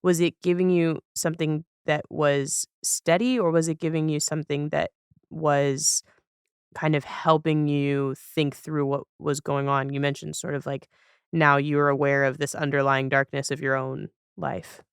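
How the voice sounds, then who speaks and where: A woman's voice: low voice, level tone, unhurried, clear, resonant tone, no echo, clean studio sound. A woman hosting a podcast in a casual, reflective way, rambling and correcting herself as she forms questions. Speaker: podcast host.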